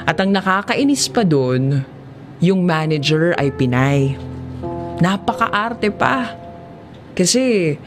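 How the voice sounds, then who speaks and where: Slow-paced Filipino woman narrating over music. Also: low-pitched. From Philippines. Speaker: narrator of a life story. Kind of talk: first-person audiobook drama narration.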